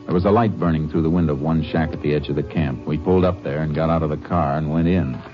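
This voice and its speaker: An actor playing a calm, authoritative Texas Ranger with an American accent, terse and businesslike, in a 1950s radio drama. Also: male voice, a bass voice, fast. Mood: grave, measured. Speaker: lead actor and narrator. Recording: muffled 1950s radio recording, loud music.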